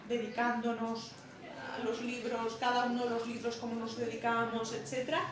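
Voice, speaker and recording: A woman's voice: fairly high pitch, completely flat delivery, normal speed, crowd noise. A woman publisher talking at a public interview, hesitant with many fillers and restarts. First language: not Catalan. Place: bookshop event room. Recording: echoey hall, distant mic, noisy.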